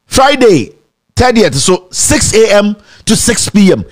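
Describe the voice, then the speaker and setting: A man's voice: high voice, unhurried. A young Ghanaian man with a Ghanaian accent, hosting a mocking commentary show and mixing English with Twi. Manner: animated, sarcastic, breaks into laughter and sing-song mockery. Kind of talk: animated commentary. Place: indoor studio set.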